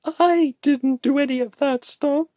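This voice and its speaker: A woman reading a story aloud and voicing a distraught, volatile young woman who pleads and lashes out in shrill outbursts. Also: measured pace, warm tone, dry recording, clean studio sound. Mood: angry.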